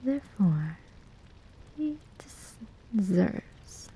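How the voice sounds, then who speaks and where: A sly female demon, acted by a woman in a whispered ASMR roleplay. Her voice is very soft, dark and heavily breathy, and she takes her time, taunting with quiet menace and deliberate, teasing phrasing. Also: mid-range voice, sing-song, heavily acted, poor audio. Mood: disdainful.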